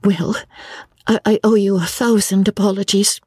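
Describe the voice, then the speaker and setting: A woman's voice: mid-range voice, fairly even intonation, normal speed, a little mellow, breathy edge, dry recording, studio quality, pitched above the performer's own voice, close to an everyday voice. A woman voicing a young, proper English woman who stammers with breathless politeness. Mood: flustered.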